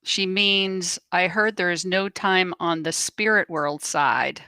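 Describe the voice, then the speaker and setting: Woman reading out messages, kinda low pitched but really bright and crisp, takes her time. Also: slow, dry recording. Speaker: co-host reading out viewer comments. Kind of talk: reading aloud, deliberate.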